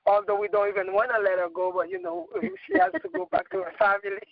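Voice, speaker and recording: A man with a high, gravelly voice that swings widely in pitch. He hosts a relaxed spiritual conversation, stopping and restarting with lots of "uh"s and repeated words. The sound is dull and lo-fi, with no room echo. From Haiti. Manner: halting, full of fillers and repeated words. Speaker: host. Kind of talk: casual spiritual chat.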